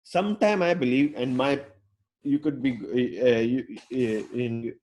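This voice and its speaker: A man's voice: medium pitch, lively intonation, measured pace, a little mellow. A man who learned English as a later language, speaking as a UX designer to an online audience in a rambling, outgoing way full of fillers and restarts. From Pakistan. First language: not English; English is his fourth language. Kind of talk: casual talk to an online audience.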